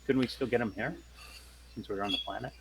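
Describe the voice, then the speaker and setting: A man's voice: lowish voice, fast talker, noisy background. A man in casual discussion as a player in a tabletop role-playing game.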